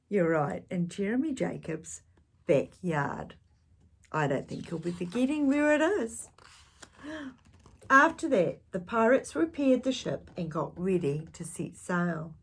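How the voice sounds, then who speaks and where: A woman reading a picture book aloud to young children, expressive and dramatic, with gentle questioning asides. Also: medium pitch, slow pace, gravelly, slightly rough. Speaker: children's storytime reader.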